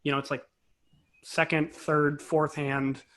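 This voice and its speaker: A man's voice: on the high side, level tone, takes it slowly, a bit bright. A man speaking as an interview guest, a composer and conductor explaining things conversationally in a thoughtful, self-correcting way.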